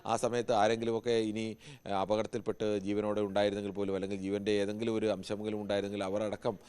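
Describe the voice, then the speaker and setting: A man's voice: fairly low pitch, little pitch movement, racing, darkish tone, clear, resonant tone. A man giving unscripted live news analysis as a correspondent, explaining with frequent rephrasing.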